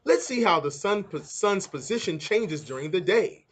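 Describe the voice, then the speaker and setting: Man reading as an animated, encouraging male schoolteacher. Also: high-pitched, moderate pace, breathy edge. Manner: encouraging, instructive. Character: encouraging male schoolteacher explaining science to children.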